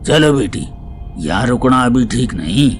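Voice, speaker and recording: A man's voice: medium pitch, animated, a little slow, natural voice. Anxious middle-aged father played by a man, pleading. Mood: upset, urging. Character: frightened, pleading father of a grown daughter. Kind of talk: Hindi audio drama acting. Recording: music bed under the voice.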